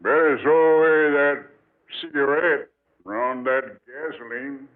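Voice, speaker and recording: An actor playing a mysterious, sly aged hitchhiker in an old radio drama, terse and cryptic in manner. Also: male voice, medium pitch, steady tone, unhurried, natural voice. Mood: sly, knowing. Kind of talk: 1940s radio drama acting. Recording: tinny old radio recording.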